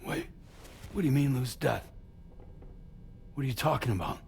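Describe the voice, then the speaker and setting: An actor playing an anxious, defensive grieving father in a video game, speaking in terse, halting bursts. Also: medium pitch, lively intonation, vocal fry, noisy background. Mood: defensive, disbelieving. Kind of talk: video game cutscene acting.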